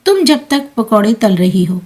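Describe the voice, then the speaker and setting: A woman's voice: a little high, big pitch swings, moderate pace, a little mellow. A woman voicing a caring, protective elderly husband in a family story, concerned and admonishing in manner.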